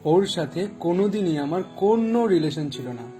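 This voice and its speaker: A man's voice: sits high, animated, normal speed, warm tone, soft background music. A man acting an earnest, pleading young man searching for a lost friend in an audio drama. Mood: pleading, defensive. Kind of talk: Bengali audio drama dialogue.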